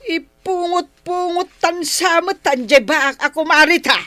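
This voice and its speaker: A woman's voice: very high-pitched, unhurried, bright, forceful tone, heavily acted. A woman with an Ilocano accent playing a jealous, nagging older wife who scolds indignantly in comic drama. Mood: gossipy, aggrieved. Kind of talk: broad comic drama acting.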